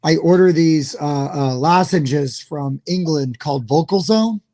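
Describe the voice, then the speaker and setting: Loud, hoarse male co-host, rambling casual talker, slightly high pitch. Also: a little slow. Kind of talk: casual chat.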